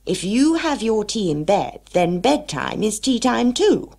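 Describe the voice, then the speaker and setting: Storybook eccentric male host, played by a woman: fussy, emphatic, lifted swooping pitch, slightly husky. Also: sing-song, a little slow, darkish tone, heavily acted. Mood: argumentative. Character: Mad Hatter, eccentric, fussy, assertive male tea-party host.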